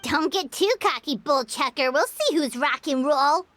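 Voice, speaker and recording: A woman voicing a tiny, feisty, childlike fairy sidekick in a video game, squeaky and excitable, with noise behind her. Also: a little slow, faint music behind. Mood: cheeky. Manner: speaks of herself in third person, excitable. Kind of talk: cartoonish video game acting.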